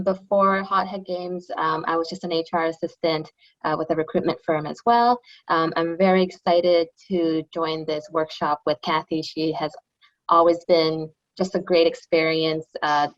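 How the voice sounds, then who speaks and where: A woman's voice: sits low, little pitch movement, normal speed, lo-fi sound. Polite eager woman on video call. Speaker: HR coordinator. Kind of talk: self-introduction on a video call.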